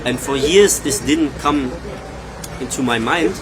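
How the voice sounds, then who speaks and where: A man's voice: mid-range voice, a little slow, rough recording. A man, speaking thoughtfully and searching for words as he gives personal testimony in an interview. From Germany. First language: German. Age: 30s. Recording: loud crowd noise outdoors.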